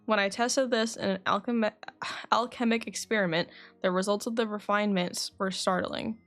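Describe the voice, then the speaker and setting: A woman's voice: medium pitch, fairly even intonation, moderate pace, fairly bright tone, faint music behind. Woman livestreamer reading game dialogue aloud. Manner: self-correcting as she reads.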